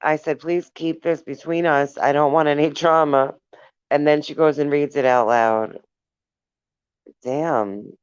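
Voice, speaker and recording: A woman's voice: low-pitched, steady tone, unhurried, clear, resonant tone, dry recording, highs cut off. Woman, live stream host, casual chat, giggly, fond of viewers, dry close sound. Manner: giggly, laughs often between remarks; affectionate toward viewers.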